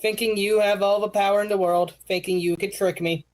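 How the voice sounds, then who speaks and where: A woman acts out a mocking female schemer, taunting a foe with theatrical flair. The voice is mid-pitched for a woman. The delivery is dramatic character acting. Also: steady tone, brisk, darkish tone, lightly acted, rough recording.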